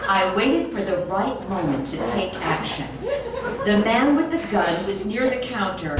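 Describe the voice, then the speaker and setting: Echoey, distant woman reading a comic story. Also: medium pitch. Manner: animated, expressive storytelling. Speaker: reader. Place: echoey room, recorded from a distance. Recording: very echoey room, distant mic, noisy.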